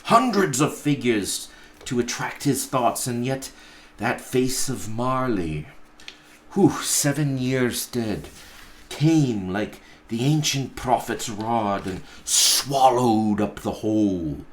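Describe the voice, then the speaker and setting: A man reads a classic-style story aloud at a very unhurried pace. His delivery is theatrical and deliberate, broken into short phrases with pauses, in a voice of ordinary male pitch. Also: animated, slight background noise. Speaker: pastor reading a story aloud. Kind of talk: dramatic storybook narration.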